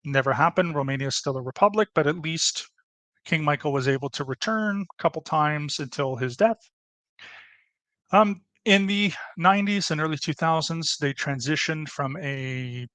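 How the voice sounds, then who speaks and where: A man's voice: on the high side, moderate pace, fairly bright tone, dry recording. A man presenting a history topic on a casual podcast, with many fillers and restarts.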